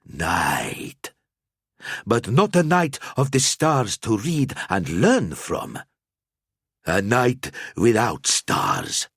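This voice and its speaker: A man voicing a tiny, cryptic old male alchemist who speaks in slow riddles and tags lines with "eh?". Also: fairly low pitch, huge pitch swings, vocal fry, a bit bright, husky, no room sound, clean studio sound, voice pushed up, heavily acted. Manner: slow cryptic prophecy with tag "eh?".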